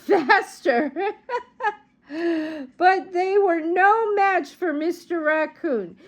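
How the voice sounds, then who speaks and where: A woman with a high voice reads a children's story at a very slow, careful pace. She is an animated storyteller who speaks directly to young listeners and adds chatty asides as she goes. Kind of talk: animated storybook read-aloud.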